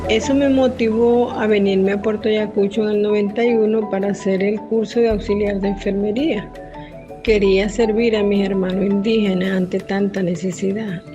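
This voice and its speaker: An older woman tells her own story as a voice-over, calm and measured, from the Venezuelan Amazon. Her pitch sits in a plain middle register. The recording is a little dull and lo-fi, with music clearly playing beneath her. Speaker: retired nurse. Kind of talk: scripted first-person narration.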